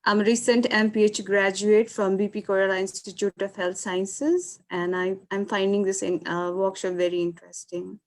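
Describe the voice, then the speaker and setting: A woman from Nepal, a recent public health graduate, introducing herself loudly and clearly. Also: medium pitch, little pitch movement, normal speed, few pauses. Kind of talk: self-introduction on a video call.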